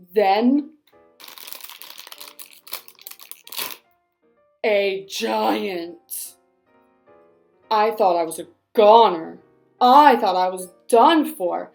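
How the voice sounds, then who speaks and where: A woman reading a picture book as a rueful, reformed little bad seed, with theatrical, drawn-out delivery. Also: medium pitch, darkish tone. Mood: dramatic. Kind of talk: expressive children's picture-book read-aloud.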